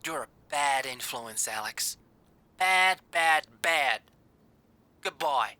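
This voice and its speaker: Woman doing a brash scolding boss lady over speakerphone, really sharp voice, grudgingly fond, radio play style. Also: a bass voice, lively intonation, takes it slowly, dry recording, exaggerated voice. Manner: blunt, sardonic, scolding. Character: McTally, brash, foul-mouthed, grudgingly fond businesswoman. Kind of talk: scripted audio drama phone call.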